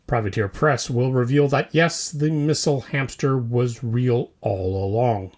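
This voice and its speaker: A man's voice: mid-range voice, a little mellow. A man hosting a comedy podcast, reading scripted mock news in a theatrical, tongue-in-cheek way with punchy short phrases.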